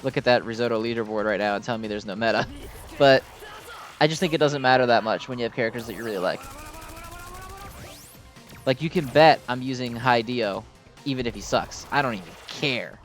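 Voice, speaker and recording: A man's voice: medium pitch, a little fast, pauses often, faint music behind. A man giving casual, unscripted gameplay commentary in a rambling, informal, self-deprecating way. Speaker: gaming video host.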